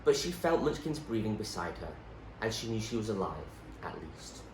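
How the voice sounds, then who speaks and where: A man narrates a novel in a low voice that rises and falls widely for emphasis. He sounds like someone reading aloud in an echoey room, delivering the scripted prose dramatically. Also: sing-song, moderate pace. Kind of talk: scripted story narration, read expressively. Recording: roomy echo, faint background noise.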